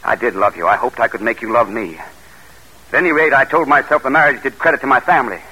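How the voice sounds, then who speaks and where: Affronted formal gentleman, male, sharp tone, husky grain, declamatory, old-time radio play. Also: mid-range voice, quick pace, low-quality recording. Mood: bitter. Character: proud, formal gentleman, stiff and easily affronted. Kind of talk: old-time American radio drama acting. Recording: vintage radio broadcast.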